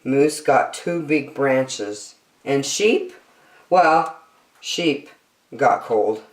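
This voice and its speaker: A woman with a lowish voice reads a children's story aloud. She is theatrical and unhurried, with very lively intonation. The sound is roomy and echoey. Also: recorded from a distance. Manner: theatrical, deliberate phrasing. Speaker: storytime reader. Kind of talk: children's picture book read-aloud.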